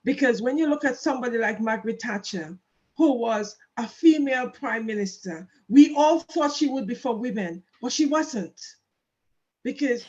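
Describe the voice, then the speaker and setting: A woman interviewer who affirms her guest and then offers her own measured views before asking her next question. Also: fairly high pitch, a little mellow, highs cut off. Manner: measured, affirming, frequent "mm" backchannels.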